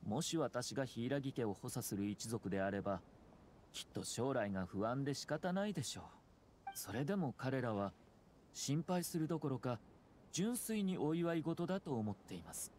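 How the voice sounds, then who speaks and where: A man voicing a courteous, calculating young clan head in a Japanese anime game, smooth, formal and measured. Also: mid-range voice, lively intonation, a little mellow, slight background noise, natural voice. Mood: composed, serious. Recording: game music underneath.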